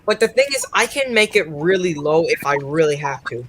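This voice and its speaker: A man's voice: fairly high pitch, expressive, brisk. A young man chatting casually on an internet voice call. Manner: chatty, says his voice is usually loud. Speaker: co-creator and voice actor. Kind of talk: casual chat over a voice call. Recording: noisy internet call line.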